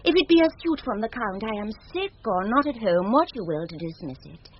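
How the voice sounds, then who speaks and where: A woman's voice: medium pitch, big pitch swings, fairly quick, lightly acted. An actress playing a commanding noblewoman in mourning in radio Shakespeare, with stately theatrical diction. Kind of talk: 1970s radio Shakespeare acting. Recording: dull, hissy vintage radio recording.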